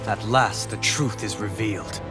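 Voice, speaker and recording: An actor playing a composed, resolute nobleman in a video game cutscene, formal, measured and eloquent. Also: on the low side, over loud music, natural voice. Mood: calm, probing.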